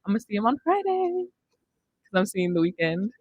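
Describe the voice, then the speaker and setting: A young woman hosts a laid-out casual music chat in a studio. Her voice sits at a middle pitch. The recording is close and dry, with no room sound. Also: big pitch swings, normal speed, a little mellow, husky, no room sound, muffled recording. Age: young adult. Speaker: radio show host. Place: indoor studio. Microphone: close studio mic on boom arm, headphones.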